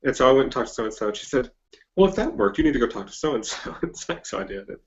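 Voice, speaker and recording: Man, American accent, podcast host, mid-range voice, informal halting chat, slightly muffled lo-fi audio. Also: medium pitch, big pitch swings. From southern US or Mexico border region (Texas, Oklahoma area). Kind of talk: casual interview chat.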